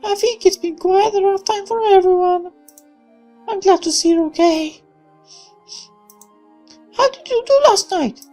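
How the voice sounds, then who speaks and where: A man voicing a polite, caring young woman in a visual novel, gentle and girlish. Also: very high-pitched, measured pace, long pauses, soft background music, pitched above the performer's own voice. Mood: caring, composed.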